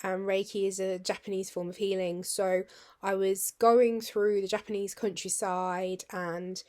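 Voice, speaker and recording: A British woman with a husky, hoarse voice, chatting casually to her viewers in a rambling, friendly way that sometimes drops to a near-whisper. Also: medium pitch, little pitch movement, unhurried, a little mellow. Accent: British English. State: husky, hoarse after surgery tubes. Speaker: vlogger.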